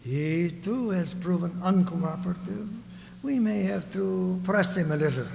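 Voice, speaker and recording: Pompous onion ruler, high voice, unhurried, courteous menace, theatrical radio play, long reverb. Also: male voice, vocal fry, darkish tone, a little hiss or hum. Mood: mock-genteel menace. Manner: pompous, mock-genteel menace. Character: scheming old onion ruler, smooth, courteous tyrant. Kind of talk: theatrical radio drama acting. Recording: echoey hall with long reverb.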